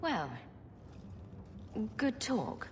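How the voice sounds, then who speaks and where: Wry, lively young woman. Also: a little high, extremely slow, noisy background, full character voice. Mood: reproachful. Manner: wry, dry. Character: wry, assertive young adult elder sister, fantasy heroine. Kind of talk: dramatic video game acting. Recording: long reverb, music behind.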